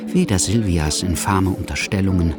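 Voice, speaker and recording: A man narrating a literary radio drama in a measured, storytelling manner. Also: deep voice, loud music behind the voice, natural voice.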